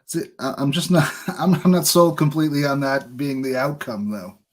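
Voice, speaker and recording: A man's voice: on the high side, lively intonation, normal speed. An American man speaks as a podcast host in casual, conversational interview talk.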